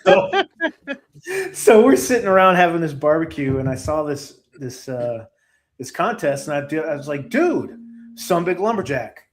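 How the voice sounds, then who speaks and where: A man who creates comic books, a podcast guest telling rambling, laid-back, self-mocking stories. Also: on the high side. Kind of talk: casual storytelling.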